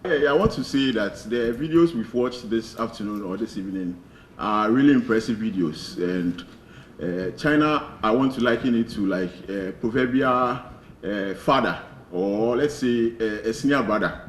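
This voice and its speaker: A man making halting, earnest remarks from the floor at a launch event, with restarts. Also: mid-range voice, slight background noise. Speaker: audience speaker at a launch event. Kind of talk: spontaneous public remarks. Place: event hall. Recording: echoey hall from far off.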